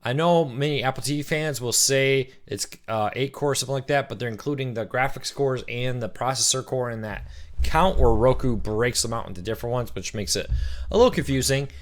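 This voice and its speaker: Man hosting a live Q&A, slightly rough voice. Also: mid-range voice, brisk. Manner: chatty, reads out viewer comments and greets them by name. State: voice a little rough from cheering. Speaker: host of a live Q&A stream. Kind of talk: casual live-stream Q&A.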